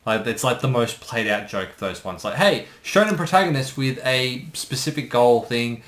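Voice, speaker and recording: A man's voice: mid-range voice, expressive, normal speed, fairly bright tone. A man chatting casually as a co-commentator, recorded in an echoey room with some faint noise. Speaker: co-commentator and voice actor. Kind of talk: casual chat.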